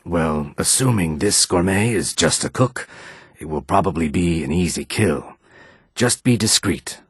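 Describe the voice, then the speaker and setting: A man voicing a reptilian Argonian assassin and outlaw in a video game, with a rasping, husky voice. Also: sits low, lively intonation, measured pace, no room sound, studio quality, full character voice. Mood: calm, cautionary. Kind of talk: video game character dialogue.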